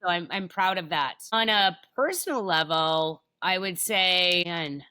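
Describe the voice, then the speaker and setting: Big-voiced, direct woman with a bright tone. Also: lowish voice, measured pace, clear, resonant tone, no room sound. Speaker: guest, tech founder and author. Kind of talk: casual interview conversation.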